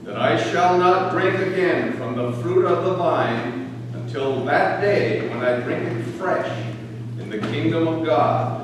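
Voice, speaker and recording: A man playing Jesus as a solemn, deliberate religious teacher in a dramatic church reading of scripture. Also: fairly high pitch, lightly acted. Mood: solemn blessing. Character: Jesus, solemn male religious teacher foretelling his betrayal. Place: large church during a service. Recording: very echoey church hall, distant mic, noisy.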